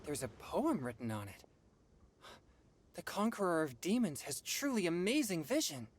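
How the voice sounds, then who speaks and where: A man's voice: a little high, normal speed, background noise. A man playing an earnest, polite teenage boy exorcist in an anime-style game. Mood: earnest, thoughtful. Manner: polite, expressive.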